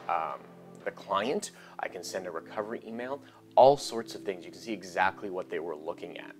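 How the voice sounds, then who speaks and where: A man in his thirties with a lowish voice walks through a tutorial in a relaxed, conversational way, pausing on ums and uhs. He speaks close to a lapel mic in a small home studio while soft music plays faintly underneath. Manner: conversational, explains step by step with frequent fillers. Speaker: online course instructor. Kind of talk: casual tutorial explanation.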